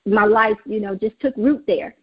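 A woman's voice: mid-range voice, normal speed, slightly rough, muffled recording. A woman from Mississippi with a Southern accent, giving an earnest, faith-filled personal testimony as an interview guest, with frequent fillers. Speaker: guest, nonprofit founder.

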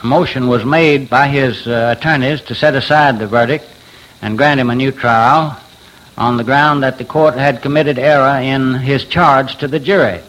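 A man giving a formal, halting account in careful legal language. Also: mid-range voice, a bit bright, rough recording. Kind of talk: formal unscripted account of a trial.

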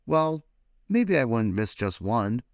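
A man's voice: mid-range voice, big pitch swings, slow pace, no echo, clean studio sound. A man playing a proud, vain fish. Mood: wistful.

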